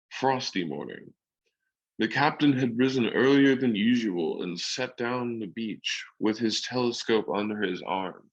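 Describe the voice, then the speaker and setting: A man's voice: fairly low pitch, dull audio. A man giving scripted storybook narration as the narrator of a radio drama.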